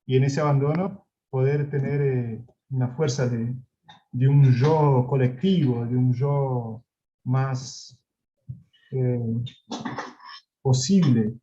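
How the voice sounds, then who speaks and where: A man, a professor being interviewed, talking reflectively and hesitantly, with many pauses and restarts, over a video call. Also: medium pitch, fairly even intonation, dark tone. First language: Spanish. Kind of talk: reflective academic conversation. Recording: dull lo-fi video call audio.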